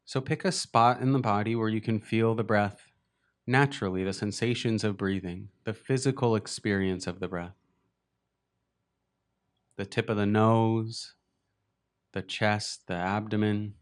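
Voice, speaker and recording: A man leading a guided meditation as a teacher, speaking gently and unhurriedly in short phrases with long pauses. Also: lowish voice, fairly even intonation.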